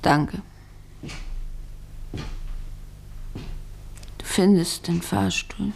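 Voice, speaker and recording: An actress playing a lonely, needy, jealous estranged wife in a German radio drama, emotional, plaintive and reproachful. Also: female voice, lowish voice, fairly even intonation, takes it slowly, creaky, a little mellow, slight background noise, exaggerated voice. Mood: subdued, hurt.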